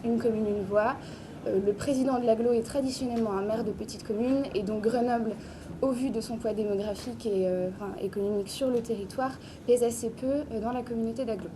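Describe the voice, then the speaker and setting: A woman giving a structured, academic public presentation of a research study in an explanatory way. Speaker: conference speaker presenting research. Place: public book presentation in Paris venue. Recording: clearly noisy live venue with rumble.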